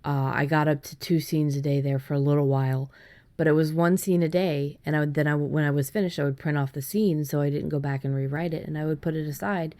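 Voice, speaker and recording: A woman's voice: low voice, steady tone, fast, warm tone. A woman hosting a podcast, explaining things in an informal, conversational way. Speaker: podcast host. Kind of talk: casual conversational podcast discussion.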